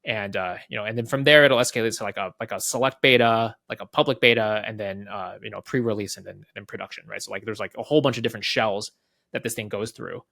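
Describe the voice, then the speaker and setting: A man's voice: on the low side, steady tone, fast talker, gravelly, pushed and bright, no room sound. A man giving casual interview explanations as a guest, thinking aloud and often checking in with "right?". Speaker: head of product, interview guest.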